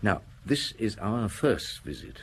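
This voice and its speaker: A shrewd middle-aged detective in an audio drama, with a low voice that moves expressively. He muses step by step with dry humour, over faint background noise. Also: big pitch swings, takes it slowly, gravelly, heavily acted. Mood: thoughtful. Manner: musing, methodical, dryly humorous.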